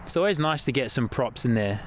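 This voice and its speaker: A man's voice: medium pitch, animated, a little fast, a little hiss or hum. A man originally from the UK hosting a casual plane-spotting livestream, talking enthusiastically and chatting with viewers. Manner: enthusiastic, chatty, reads viewer comments aloud. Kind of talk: casual live commentary. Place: outdoors near an airport.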